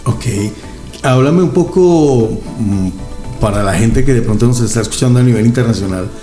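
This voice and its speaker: A man's voice: mid-range voice, moderate pace, warm tone, soft background music. A man hosting a radio interview, enthusiastic and given to exclamations, with hesitant fillers between phrases. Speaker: radio host.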